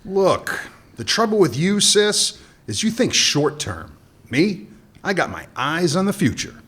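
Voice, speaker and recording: A man voicing a cocky smuggler boss in a radio play, trading sardonic wisecracks. His slightly raised voice swings widely in pitch as he schemes. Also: big pitch swings, unhurried, fairly bright tone, breathy edge, exaggerated voice. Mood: wry. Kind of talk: comic radio play acting.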